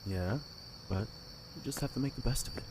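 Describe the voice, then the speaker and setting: An actor playing a confident young castle guard in an audio drama, talking in a teasing way. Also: male voice, medium pitch, a little fast, noisy background, natural voice. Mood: easygoing.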